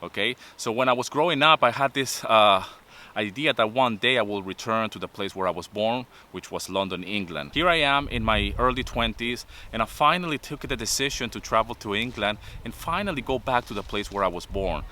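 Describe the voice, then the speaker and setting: Vlogger, 50s, Venezuelan accent, earnest motivational delivery, bright timbre. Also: male voice, fairly low pitch, a little fast. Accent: Venezuelan Spanish. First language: Spanish. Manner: earnest, repeats points with "okay?". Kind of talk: motivational talk to camera.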